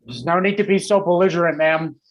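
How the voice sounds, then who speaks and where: Officious, higher-voiced male cop played by an actor. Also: a little fast, a little hiss or hum, natural voice, low-quality recording. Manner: clipped, formal, officious. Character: officious, hostile small-town policeman grieving colleagues. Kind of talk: live staged play reading.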